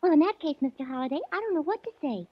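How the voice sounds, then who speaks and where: A ditzy young secretary in an old radio play, naive and flustered, with a very high, bouncy voice. The sound is dry, dull and lo-fi. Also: female voice, animated, rapid-fire, no echo. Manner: naive, flustered, mixes up words.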